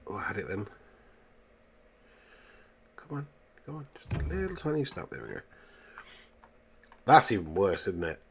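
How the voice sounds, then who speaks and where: A man's voice: on the low side, big pitch swings, moderate pace, pauses often, fairly bright tone. A man with a congested voice from a cold, giving casual gameplay commentary and muttering questions to himself as he goes. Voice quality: congested, bunged-up nose. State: full of a cold. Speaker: gaming video host. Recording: clear background noise, keyboard clicks.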